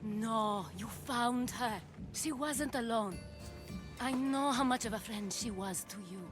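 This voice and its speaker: A woman's voice: fairly high pitch, slow. An actress playing a composed, caring and resolute Greek noblewoman in a dramatic video game, poised and earnest. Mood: compassionate, urgent. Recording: music under the voice.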